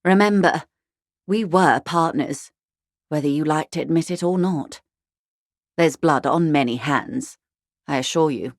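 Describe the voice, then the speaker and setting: An actress playing a refined, worldly female negotiator in a video game, with polished, measured, wryly courteous delivery and quiet menace. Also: low voice, no room sound, studio quality. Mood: quietly accusing. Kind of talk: video game character dialogue.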